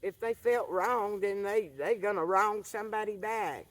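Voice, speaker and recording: A woman, talking earnestly to a camera by an outdoor fire pit, soft-spoken and halting. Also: mid-range voice, no room sound. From rural Appalachian North Carolina. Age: 70s. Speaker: vlogger. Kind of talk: reflective monologue to camera.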